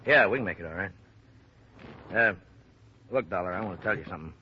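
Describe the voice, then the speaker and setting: A man's voice: low-pitched, lively intonation, quick pace, slightly rough, exaggerated voice. An actor playing a plain-spoken small-town Western sheriff in a 1950s radio drama, blunt and folksy in his country way of talking. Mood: frank. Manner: folksy, blunt, drawn-to-the-point country speech. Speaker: actor in supporting part. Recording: muffled, noisy old radio broadcast.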